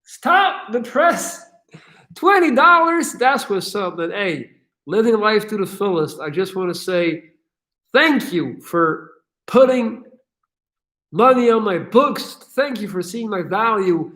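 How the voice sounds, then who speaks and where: Energetic profane man answering viewer questions. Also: high-pitched, slow. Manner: casual, profane, energetic, with many fillers. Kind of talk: casual livestream Q&A.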